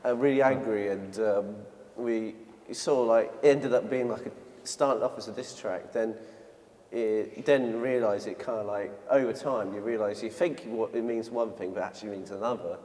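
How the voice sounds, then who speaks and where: A man's voice: on the low side, steady tone, normal speed, darkish tone. A man who is a band guest in an interview, speaking loosely and casually, with some grammar slips. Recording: studio with long reverb.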